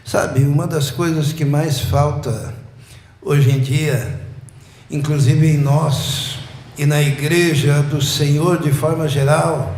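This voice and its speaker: A man, preaching a sermon slowly and emphatically, building up repeated phrases. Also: fairly high pitch, steady tone, a little mellow. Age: 60s. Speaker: pastor. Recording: echoey church room.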